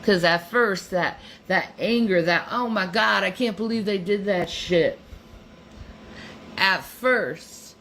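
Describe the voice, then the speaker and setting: A woman's voice: medium pitch, takes it slowly, a bit bright, slight background noise. A woman giving an earnest motivational monologue, emphatic and repeating herself.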